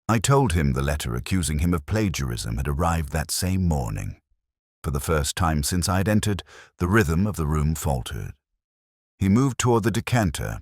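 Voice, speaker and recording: A man playing a brooding, melancholy police detective, narrating his story in a measured, solemn, literary manner. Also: very deep voice, dry recording, clean studio sound, full character voice.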